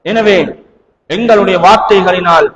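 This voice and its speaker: A man's voice: sits high, measured pace, a bit bright, dull audio, slight background noise. A man with a Sri Lankan Tamil accent preaching a sermon emphatically, leaning on rhetorical questions. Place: mosque hall. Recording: long hall reverb.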